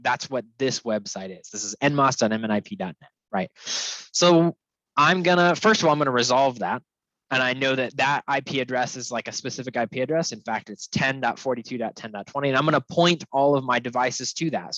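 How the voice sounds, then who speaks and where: A man's voice: mid-range voice, fairly bright tone, no room sound. A man presenting technical material in an informal, conversational webinar style, often checking in as he explains. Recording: dull, lo-fi, dry close sound.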